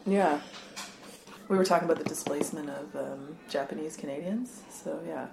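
A woman speaking reflectively in casual conversation, halting and full of fillers. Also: deep voice, vocal fry, noisy background. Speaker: guest. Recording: echoey room.

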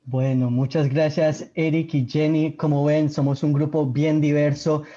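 A young man hosting a student radio show, thanking his guests and handing over in a relaxed, welcoming way. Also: a little high, a little slow. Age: young adult. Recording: dull lo-fi sound.

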